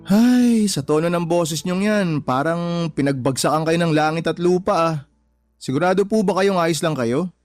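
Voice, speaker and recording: A male actor plays an exasperated young Filipino son in a radio drama. His voice sits high for a man, with emphatic, pleading delivery. Soft music runs underneath. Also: moderate pace, dry recording, lightly acted. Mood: worried, coaxing. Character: concerned, exasperated adult son confronting his mother. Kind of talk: Tagalog radio drama acting.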